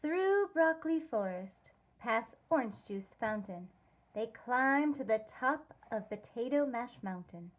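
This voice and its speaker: A woman teacher reading a rhyming children's story aloud, expressively and with deliberate, careful wording. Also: fairly high pitch, expressive.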